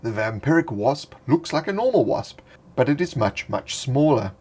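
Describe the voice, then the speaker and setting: A man's voice: medium pitch, normal speed, husky. A man reading a fantasy monster entry from a tabletop game book in a dry, playful storytelling manner with wry asides. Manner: louder and more excited in the closing address. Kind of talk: scripted narration of a fantasy bestiary entry.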